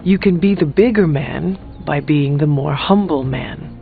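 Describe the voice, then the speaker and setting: A candid, calm counselor from a video game, with a somewhat high voice. He speaks as a loyal friend, formal, measured and earnest. The audio is thin and phone-like over faint music. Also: vocal fry. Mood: earnest, advising.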